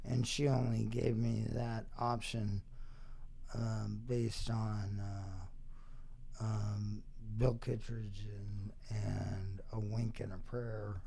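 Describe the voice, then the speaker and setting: A middle-aged American man reminisces casually in a creaky, gravelly voice. He talks unhurriedly and in broken pieces, stopping to search for words, with a wry touch. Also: on the low side, level tone, dark tone. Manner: halting, fragmented, wry. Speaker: interview guest.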